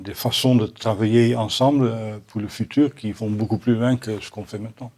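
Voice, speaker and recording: A middle-aged man from the Netherlands with a Dutch accent, answering audience questions at a conference in halting French full of restarts. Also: fairly low pitch, fairly even intonation, warm tone. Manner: halting, many restarts and repeated words. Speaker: botanical garden owner answering questions. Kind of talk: Q&A after a conference talk.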